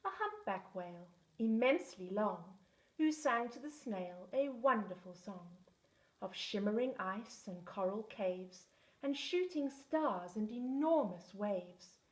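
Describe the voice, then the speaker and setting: Slow-reading woman in an echoey room. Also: medium pitch, expressive. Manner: rhythmic, lilting rhyme reading. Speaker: teaching assistant. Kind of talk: storybook read-aloud.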